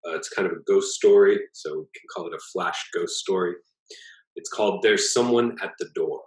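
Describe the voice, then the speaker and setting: A man reading his own short story aloud as an author, in a measured, expressive narrating manner. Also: low voice, roomy sound.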